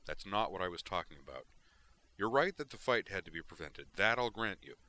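A man's voice: low-pitched, expressive, a little fast, pushed and bright. A man voicing an angry, weary male starship pilot in a sci-fi audiobook reading. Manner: heated, grumbling.

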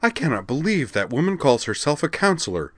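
A man's voice: mid-range voice, normal speed, fairly bright tone, dry recording, pitched above the performer's own voice, natural voice. A man reading the part of an outraged young alien woman student, snappish and indignant.

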